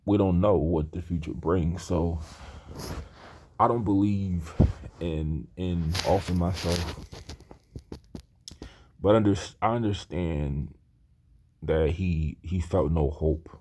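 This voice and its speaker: A man's voice: sits low, fairly even intonation, takes it slowly, pauses often, darkish tone, slightly rough. A man in his thirties talking in a rambling personal monologue, earnest and hesitant, with many restarts. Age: 30s. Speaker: aspiring screenwriter talking to camera.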